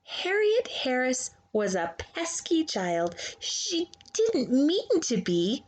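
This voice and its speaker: A woman reading a children's storybook aloud expressively, with theatrical pauses and hushed emphasis. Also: high-pitched, wildly swooping pitch. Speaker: children's book reader.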